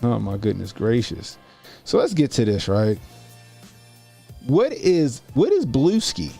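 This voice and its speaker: A man's voice: medium pitch, wildly swooping pitch, slow, gravelly, dark tone, husky, faint music behind. A man in his forties doing casual livestream commentary as a YouTube host, talking informally and repeating phrases for emphasis. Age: 40s.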